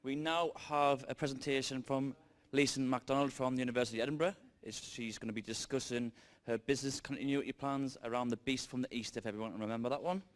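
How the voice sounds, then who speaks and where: A man chairing a conference session and introducing the next speaker in a brisk announcing manner. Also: medium pitch, steady tone.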